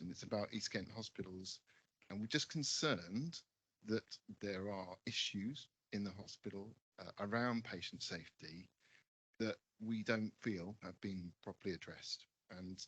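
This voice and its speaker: Slightly hoarse man, low husky voice. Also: fairly bright tone, no room sound, rough recording. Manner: hesitant, concerned. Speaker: GP asking a question. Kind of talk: audience question at public meeting.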